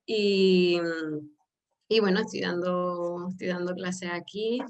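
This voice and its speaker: A woman's voice: fairly low pitch, fairly even intonation, slow pace, highs cut off. A woman from Venezuela with a Venezuelan accent, a yoga teacher answering interview questions in a hesitant, grateful way. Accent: Venezuelan Spanish. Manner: hesitant, grateful, soft-spoken with small laughs.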